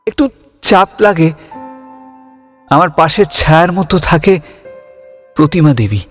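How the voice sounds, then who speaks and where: A slow, wistful young man, emotive. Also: fairly high pitch, animated. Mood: reflective, hopeful. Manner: halting, stammering, emotive delivery. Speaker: narrator and lead character. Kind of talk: dramatic Bengali audio story narration. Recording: music under the voice.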